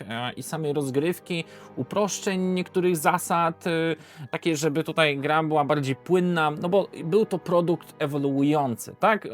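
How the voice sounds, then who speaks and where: A Polish man with a slightly high-pitched voice talks through a video review for viewers. His delivery is unscripted and informal, with hesitant pauses and frequent self-corrections. Music plays behind him. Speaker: board game reviewer presenting to viewers.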